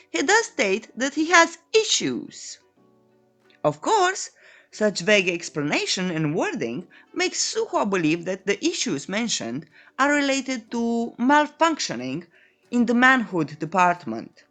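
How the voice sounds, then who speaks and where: A woman's voice: a little high, wildly swooping pitch, unhurried, a bit bright. A woman narrating a scripted comic recap in a playful, tongue-in-cheek, coy manner. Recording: voice-over with background music. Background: music.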